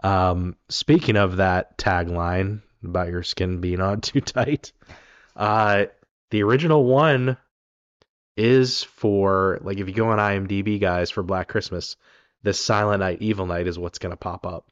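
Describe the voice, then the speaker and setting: A man with a very deep voice, rough and raspy from a cold, talks through a film discussion in a loose, rambling way. The sound is dry and a little muffled, with no room around it. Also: fairly even intonation, measured pace, dry recording. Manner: rambling, many ums and restarts. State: raspy from being sick. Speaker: podcast co-host.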